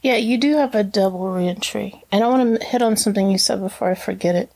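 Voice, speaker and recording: A woman's voice: medium pitch, quick pace, creaky, a little mellow, breathy edge. Chatty woman reflecting in an interview. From Brazil, raised as a missionary kid. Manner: chatty, many fillers and restarts. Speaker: interview guest. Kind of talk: casual reflective conversation.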